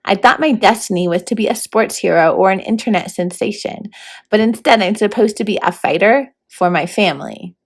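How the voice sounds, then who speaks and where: A woman's voice: medium pitch, brisk, husky. A woman voicing a plucky, wry young schoolgirl heroine with a casual, questioning manner in a storybook read-aloud. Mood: determined.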